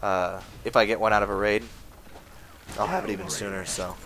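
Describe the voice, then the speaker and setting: A man talking casually over his gameplay footage, rambling with lots of fillers, his voice going hoarse. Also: fairly low pitch, steady tone, fairly quick, noisy background. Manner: rambling, full of uh fillers, chatty. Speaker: gaming video maker. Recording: game music playing underneath. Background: game music.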